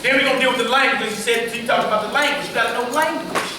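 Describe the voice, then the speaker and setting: Man, high pitch, emphatic street-style debater reading scripture; distant, echoey hall with a responsive crowd. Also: background noise. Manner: emphatic, calls to the crowd, reads scripture slowly.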